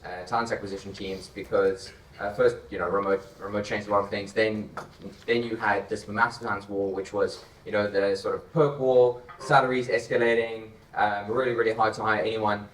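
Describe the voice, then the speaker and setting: A South African man on a conference stage talks in a low, unhurried voice, often repeating words while he works out his point. The sound comes from a distance, full of hall echo. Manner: relaxed, thinking aloud with repeated words. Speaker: panelist, startup co-founder. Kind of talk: conference panel talk. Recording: echoey hall, mic heard from far off.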